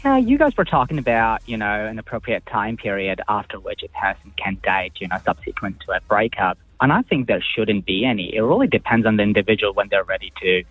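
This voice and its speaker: A man's voice: fairly low pitch, animated, brisk, few pauses, husky, dry recording, faint music behind. A man from Pakistan with a mixed international accent, calling a radio phone-in with his casual opinion over a tinny phone line.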